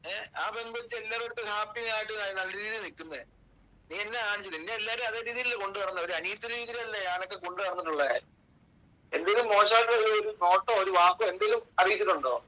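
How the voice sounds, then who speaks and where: Fast, bright-voiced scolding Kerala man. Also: high-pitched. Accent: Kerala Malayalam. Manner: insistent, scolding, repeats himself. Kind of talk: heated phone conversation, questioning. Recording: dull lo-fi phone call, faint noise.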